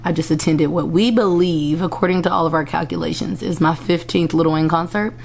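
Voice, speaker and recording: A young woman vlogging from home with a hoarse voice. She chats casually and rambles, often correcting herself, while she finishes her makeup. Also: deep voice, fairly even intonation, brisk, darkish tone, a little hiss or hum. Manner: rambling, self-correcting, chatty. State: hoarse after a concert. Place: indoors at home.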